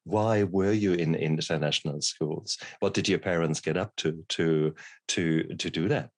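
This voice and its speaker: Casting note: deep, low-voiced man from Germany, interview host, halting delivery, dry close sound. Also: no room sound. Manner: hesitant, repeats words. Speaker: podcast host, doctor. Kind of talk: casual interview chat.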